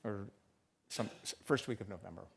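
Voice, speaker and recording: A man's voice: on the low side, normal speed, gravelly, a little hiss or hum. A man chairing a city arts commission meeting, asking firm, direct questions. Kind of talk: public meeting discussion. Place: council chamber.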